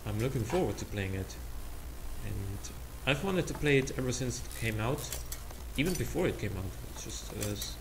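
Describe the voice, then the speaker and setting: Gravelly Austrian man rambling over gameplay. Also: lowish voice, lively intonation, normal speed. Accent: Austrian German. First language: German. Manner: rambling, hesitant, many fillers and restarts. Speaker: let's play host. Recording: noisy home recording.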